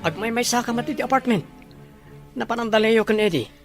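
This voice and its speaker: A woman's voice: a little high, brisk, soft background music, natural voice. A woman playing a frank, questioning friend and confidant in an Ilocano audio drama. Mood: curious, frank.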